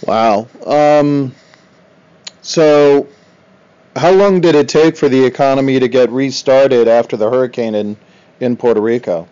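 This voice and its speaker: Clear-voiced man interviewing, slightly dull audio. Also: mid-range voice, unhurried, mellow. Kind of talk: casual remote interview.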